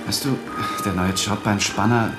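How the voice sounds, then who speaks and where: A man's voice: fairly low pitch, fairly even intonation, moderate pace, natural voice. An actor playing an ambitious, cynical male journalist in a radio drama. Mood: wary, surprised. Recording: roomy sound with loud music.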